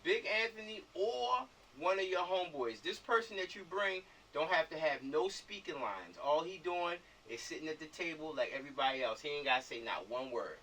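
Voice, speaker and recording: A man's voice: fairly high pitch, lively intonation, moderate pace, hardly any pauses, bright, forceful tone, a little hiss or hum. An American man casually directing a planning chat over a video call, repeating himself and often checking that he is understood. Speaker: film director running a meeting. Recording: distant mic on video call.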